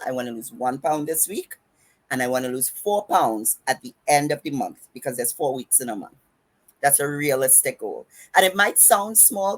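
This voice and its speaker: A woman with a Trinidadian accent explains diet goals on a podcast, running her words together as she lays out each point. Her voice sits low and has a husky edge. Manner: runs her words together, emphatic and instructive. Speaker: podcast host and fitness coach. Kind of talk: casual podcast advice.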